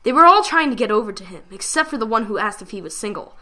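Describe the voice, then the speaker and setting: A woman giving a dramatic reading of a fan fiction story, with expressive delivery. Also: a little high, quick pace.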